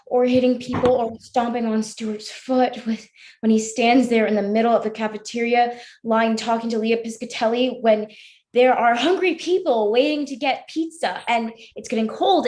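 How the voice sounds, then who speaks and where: A woman's voice: a little high, natural voice. A woman in a staged play reading, voicing a hot-tempered, awkward and defensive teenage schoolgirl with a blunt, rambling way of talking. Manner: blunt, sweary, halting then rambling.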